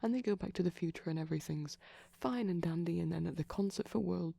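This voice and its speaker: A young adult performer playing a shy, nerdy boyfriend in a casual, soft-spoken ASMR ramble, enthusiastic but hesitant. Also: medium pitch, fast talker, vocal fry.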